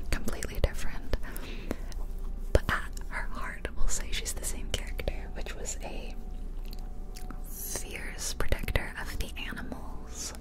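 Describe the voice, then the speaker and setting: Female ASMR host, fully whispered, unhurried and chatty, close-miked ear to ear, casual game commentary. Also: low-quality recording. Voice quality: soft unvoiced whisper. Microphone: close ear-to-ear ASMR microphone.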